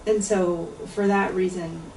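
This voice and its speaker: Low, gravelly-voiced woman giving a book talk. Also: fairly even intonation, a little mellow, slight background noise. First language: English. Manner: measured, thoughtful, with frequent pauses and "um"s. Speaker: author and translator giving a talk. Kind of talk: book talk with poetry reading. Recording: echoey room, voice picked up from a distance.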